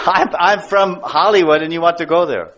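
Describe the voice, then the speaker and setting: A man's voice: on the high side, normal speed, fairly bright tone. An American man giving a devotional lecture as a spiritual teacher, speaking emphatically and repeating key words for stress. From United States. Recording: dull lo-fi lecture recording.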